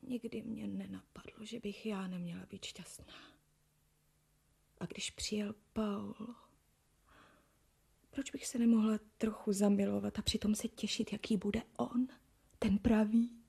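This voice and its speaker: A breathy, fairly high voice gives life to a frightened young noble bride. She swings between loud, pleading outbursts and soft, whispered brooding. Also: long pauses. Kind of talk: radio drama acting.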